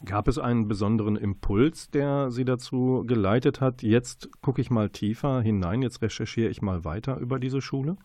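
A man's voice: medium pitch, moderate pace, few pauses, dark tone, no echo, clean studio sound. A man hosting a literary interview, asking his questions with polite, considered phrasing. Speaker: radio interviewer, host.

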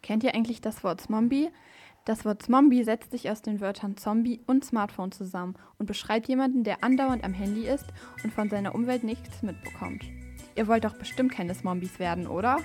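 High female radio presenter, music behind. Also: a little fast, darkish tone. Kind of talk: scripted radio explainer addressed to listeners.

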